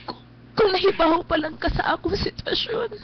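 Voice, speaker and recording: A wounded Cebuano mother with a high voice, pleading in a halting, tearful way. It is radio-drama acting, captured on a dull recording with faint noise. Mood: hurt, pleading.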